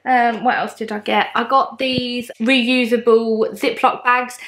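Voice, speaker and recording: A woman's voice: a little high, little pitch movement, unhurried, a bit bright, a little hiss or hum. Woman, thirties, upbeat haul chat, lots of ums, sitting at home facing the camera. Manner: chatty, upbeat, lots of ums, shows each item to camera. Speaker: haul vlogger. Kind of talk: casual chatty product haul. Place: bright home living room, indoors.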